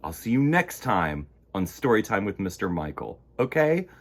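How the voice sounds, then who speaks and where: A man hosting a children's storytime, reading aloud in a theatrical, exclamatory way that moves between hushed and loud. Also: fairly low pitch, sing-song, moderate pace. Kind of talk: animated picture-book read-aloud for children.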